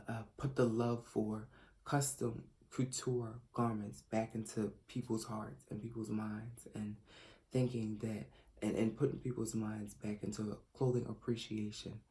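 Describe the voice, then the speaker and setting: A man in his late twenties from Philadelphia, speaking earnestly in a self-recorded audition pitch as a fashion designer. He hesitates a lot, with many fillers and restarts. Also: dark tone. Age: late 20s. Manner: earnest, self-described shy and reserved.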